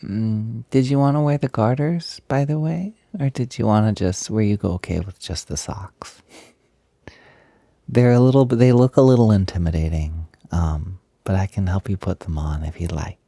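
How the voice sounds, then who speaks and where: A man playing an excited, doting, gentle young roommate in an intimate roleplay audio, soft-spoken and breathy, hesitant but gushing and reassuring. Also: fairly low pitch, moderate pace, dry recording. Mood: gentle, attentive.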